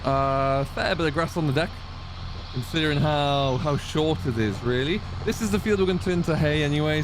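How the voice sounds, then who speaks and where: Male let's-play host, average pitch, relaxed roleplaying farmer musing aloud over clearly audible machinery noise. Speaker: host of a farming simulator roleplay series. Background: tractor and machinery sounds from the game.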